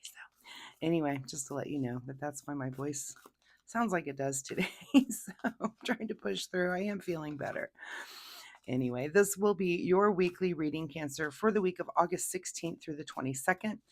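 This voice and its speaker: A woman with a hoarse voice from laryngitis, giving a chatty, rambling tarot reading to her viewers. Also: deep voice, expressive, moderate pace. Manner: chatty, rambling, laughs easily. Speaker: tarot reader. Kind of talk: casual tarot reading to camera.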